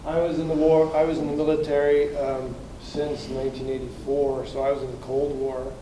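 A man's voice: on the high side, little pitch movement, a little fast. American man, fifties, earnest testimony, noisy background. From United States. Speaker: guest speaker. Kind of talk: personal testimony. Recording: echoey room, from far off.

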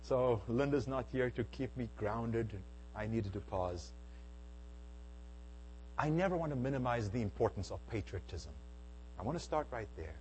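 A middle-aged man from South Africa giving an emphatic church talk, swinging between hushed and loud. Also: medium pitch, lively intonation, normal speed, long pauses, slight background noise, poor audio. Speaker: speaker at a Bible Q and A. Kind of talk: lecture-style church talk.

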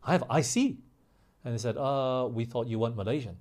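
A man preaching a sermon in an emphatic way, slowing down on key phrases and putting rhetorical questions to his listeners. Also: medium pitch, darkish tone. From grew up overseas in England, Australia and the US.

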